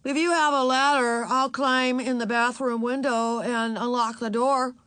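A woman's voice: fairly high pitch, steady tone, measured pace, fairly bright tone, smooth, no echo, pitched above the performer's own voice. Woman playing a blunt, insistent young boy. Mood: determined. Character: young boy, demanding, practical, a dog owner.

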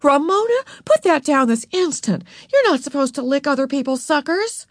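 A bossy schoolgirl big sister from a storybook, played by a woman narrator, with a raised pitch. She corrects and scolds in an exasperated, ordering way. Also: big pitch swings, moderate pace, a bit bright, dry recording, studio quality, full character voice. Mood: scolding, angry.

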